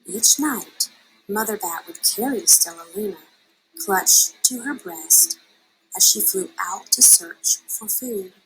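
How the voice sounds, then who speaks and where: A woman reading a children's storybook aloud in an expressive, dramatic manner, drawing out her words. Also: medium pitch, slight background noise, low-quality recording. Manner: unhurried, dramatic delivery with drawn-out words. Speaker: storybook reader.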